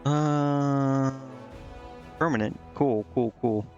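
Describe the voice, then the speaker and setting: A man with a fry-edged, gravelly voice gives casual game-stream commentary, muttering his thoughts and reading text aloud. The mic is a bit dull and lo-fi, with music clearly playing behind him. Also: medium pitch, very slow, darkish tone.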